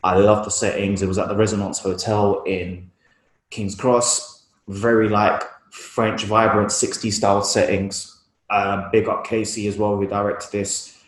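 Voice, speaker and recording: Low, even-toned London man, echoey room. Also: a little slow. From East London, UK. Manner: informal, slangy. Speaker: guest photographer. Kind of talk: casual interview talk about own work. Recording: roomy, echoey room sound.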